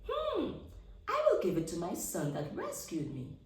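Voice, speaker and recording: Lady doing a wondering spider dad for a kids story, musing out loud, high swoopy voice, very echoey. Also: huge pitch swings, distant mic, full character voice. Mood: pondering. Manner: exclamatory, drawn-out musing. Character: Anansi, male spider father, wondering and grateful.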